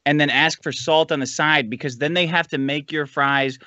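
Brash American man, very bright forceful voice. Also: fairly high pitch, fairly even intonation, normal speed, no room sound, highs cut off. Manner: profane, brash. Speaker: podcast guest. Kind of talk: casual chat, joking banter.

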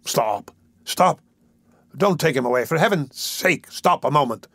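A man's voice: fairly high pitch, animated, fairly quick, dry recording, clean studio sound. A man voicing a poor, earnest elderly bookstall keeper in an audiobook, out of breath and insistent. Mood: urgent, breathless. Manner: urgent, insistent, pleading.

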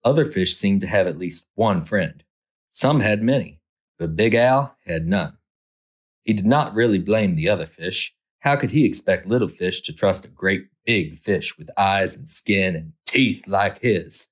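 A man's voice: low voice, animated, measured pace. A man narrating a children's picture book as a storytime host, expressively, drawing out key words for effect.

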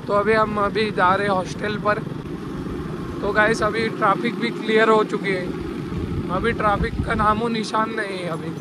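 A young man talks casually to his viewers while riding through city traffic, his throat a bit hoarse. His voice sits high and stays fairly flat. Loud engine and street noise fill the background. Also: moderate pace. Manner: chatty, addresses viewers as guys and brother. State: hoarse from dust. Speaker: motovlogger. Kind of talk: casual motovlog narration. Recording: noisy outdoor street recording. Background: traffic and motorcycle engine noise.

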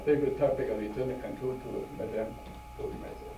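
A man leads a question session at a club meeting, halting and full of fillers. His voice comes from far off in an echoey room. Steady noise sits behind him. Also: medium pitch. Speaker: table topics master.

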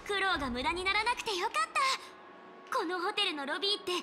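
A woman voicing a bubbly, chatty, impatient teenage girl traveler in a Japanese video game, casual and expressive. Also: very high voice, fast, noisy background. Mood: chatty, excited. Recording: game music behind.

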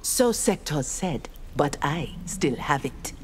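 Low-voiced, defiant ninja warrior. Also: female voice, big pitch swings, takes it slowly, heavy background noise, full character voice. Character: defiant, honorable armored female ninja warrior. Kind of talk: scripted video game dialogue. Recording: music behind the voice.

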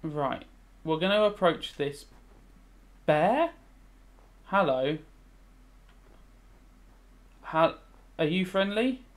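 Higher-pitched British man thinking aloud. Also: lively intonation, extremely slow, pauses often. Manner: rambling, thinking aloud, switching between explaining and musing. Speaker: religious studies teacher. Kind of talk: casual revision commentary while playing a video game.

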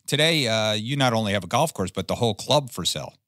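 A man's voice: fairly low pitch, expressive, fast talker, fairly bright tone, dry recording, clean studio sound. A man hosting a business interview podcast, asking friendly, rambling questions. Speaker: podcast host.

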